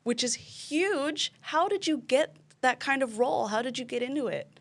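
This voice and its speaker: A woman's voice: high-pitched, lively intonation, moderate pace, vocal fry. A young woman hosting a casual interview, enthusiastic and gushing, laughing often. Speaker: show host.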